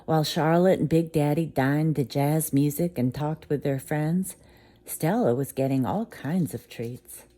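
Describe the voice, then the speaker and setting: Soft, low-voiced woman reading a bedtime story. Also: a little slow.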